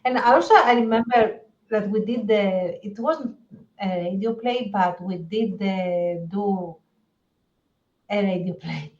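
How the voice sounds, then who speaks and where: A woman co-hosting a podcast, chatting casually in an animated, agreeable way. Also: mid-range voice, slow, dull audio. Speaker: podcast co-host. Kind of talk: casual chat.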